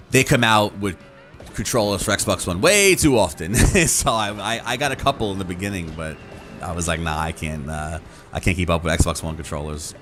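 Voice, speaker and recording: A man hosting a gaming channel, talking casually and off the cuff in a rambling, halting way with repeated words. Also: low-pitched, fairly bright tone. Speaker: YouTube gaming channel host. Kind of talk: casual, unscripted chat to viewers.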